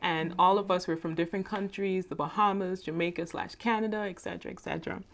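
A woman's voice: on the low side, fairly even intonation, fast, creaky. Upbeat woman hosting a discussion. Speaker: host. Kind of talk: casual panel discussion.

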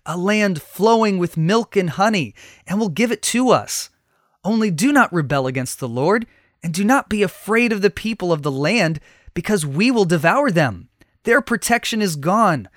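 A man's voice: high-pitched, dry recording, studio quality, pitched above the performer's own voice. A man voicing a bold, faithful Hebrew leader pleading with a crowd in impassioned declamation, in a Bible story.

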